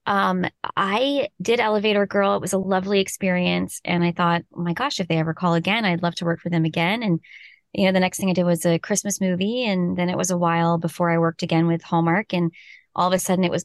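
A woman in her thirties talks with a slightly low voice, chatty and quick to laugh. She is recorded in a small home closet on a voiceover setup, and the sound is very dry with almost no room around it. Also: no echo. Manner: warm, chatty, laughs often. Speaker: actress and voice actor, guest. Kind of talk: casual interview chat.

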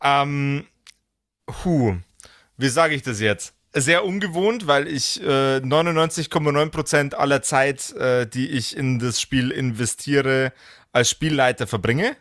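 Male podcast co-host, medium pitch, very dry sound, colloquial and halting, casual game talk. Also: fairly bright tone, no echo. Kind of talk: casual chat looking back on a role-playing game.

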